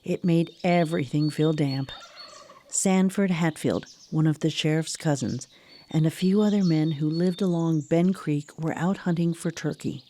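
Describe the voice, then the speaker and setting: A woman narrating a scripted radio drama in a measured storytelling manner. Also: low-pitched, warm tone, slight background noise.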